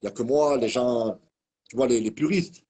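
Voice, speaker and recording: Husky man ranting. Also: medium pitch, normal speed, dark tone. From Marseille, France. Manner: rambling, heated, repeats and restarts words. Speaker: online commentator. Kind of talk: ranting video message.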